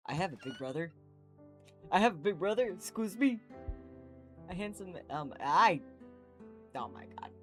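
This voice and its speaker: A woman's voice: lowish voice, normal speed, long pauses. A young adult woman giving casual, gushing commentary while she plays a visual novel and reads from it. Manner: excitable, gushing, stumbles while reading. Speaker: streamer playing a visual novel. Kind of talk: casual gaming commentary mixed with reading game text aloud. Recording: music playing behind the voice.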